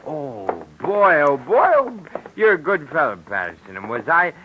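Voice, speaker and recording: A man's voice: a little high, very animated, moderate pace, lo-fi sound. An actor playing a cheerful drunk man stumbling home at dawn, slurring and rambling in 1940s radio style. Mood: giddy drunk. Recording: hissy old radio broadcast.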